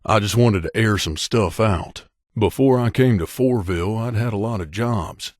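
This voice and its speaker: A man playing a grizzled, guarded veteran mercenary in a video game, with a gruff, curt, world-weary manner. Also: fairly low pitch, moderate pace, dry recording, clean studio sound, lightly acted. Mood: hesitant, reflective, then hardened, blunt. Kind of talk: video game companion dialogue.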